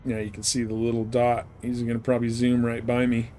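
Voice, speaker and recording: A man's voice: mid-range voice, completely flat delivery, fairly quick, dark tone. A man giving casual, rambling live commentary as he plays a game, often muttering to himself. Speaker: game livestreamer. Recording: clearly noisy background.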